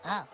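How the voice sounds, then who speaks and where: A woman voicing a small, childlike, cheeky and dramatic hungry creature in a video game. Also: mid-range voice. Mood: pleading, demanding. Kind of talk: video game character acting.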